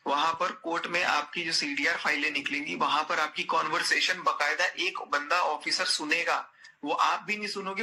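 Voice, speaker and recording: Man, very sharp forceful voice, insistent, repetitive, personal phone call, faint noise. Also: a little high. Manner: insistent, repeats phrases. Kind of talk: heated personal phone conversation.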